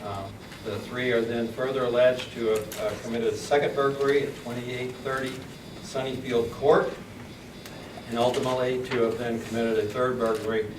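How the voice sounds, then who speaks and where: A man, a prosecutor, reading out criminal charges at a press conference in a measured, formal way with frequent pauses. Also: medium pitch, level tone. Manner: measured, reads charges with many uh fillers. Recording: echoey room, distant mic, background noise.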